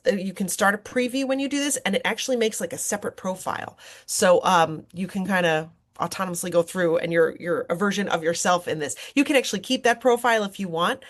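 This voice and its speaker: A woman presenting an informal software workshop as an online instructor, talking conversationally and encouragingly with examples from her own teaching. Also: mid-range voice. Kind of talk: informal software tutorial with screen demonstration.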